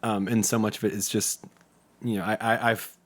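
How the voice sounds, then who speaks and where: A man's voice: lowish voice, level tone, moderate pace. A young man talking casually as an interview guest, with a joking, self-deprecating manner. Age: young adult. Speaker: interview guest, community theatre actor. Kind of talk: casual chat.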